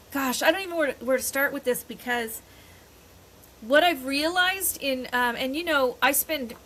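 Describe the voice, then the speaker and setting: An older woman co-hosting a podcast in casual chat, rambling and often pausing to search for words. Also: high-pitched, a bit bright. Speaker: podcast co-host. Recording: faint background noise.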